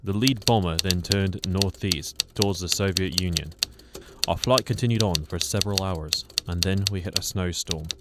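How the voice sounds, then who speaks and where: A man's voice: sits low, normal speed, close to an everyday voice. A man playing a prim, timid English war reporter in a radio drama, formal. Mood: solemn narration. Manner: formal, stammering when flustered. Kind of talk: radio drama narration and acting. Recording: very loud noise and typewriter clatter.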